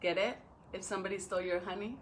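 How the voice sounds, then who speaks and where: A woman talking and reading aloud to young pupils as a school educator, with expressive, drawn-out phrasing. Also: medium pitch. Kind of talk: read-aloud for children with chatty intro.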